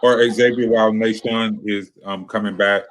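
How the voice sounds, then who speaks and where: Male moderator with a lowish voice, posing questions to the panel. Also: fairly even intonation, moderate pace, a little hiss or hum. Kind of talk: moderating a discussion.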